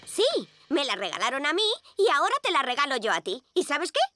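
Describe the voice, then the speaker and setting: A high, expressive voice for an earnest little superhero girl. She sounds kind, sincere and determined, in a cartoon dub over music. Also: a little fast. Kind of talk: animated children's cartoon dub. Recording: music under the dialogue.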